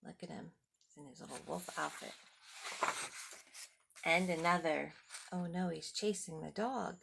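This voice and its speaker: A woman's voice: lowish voice, unhurried, vocal fry, a bit bright, slight background noise. A woman reading a picture book aloud to young children, talking simply and adding little questions and asides. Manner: simple, child-directed talk with rhetorical questions. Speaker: storyteller for children.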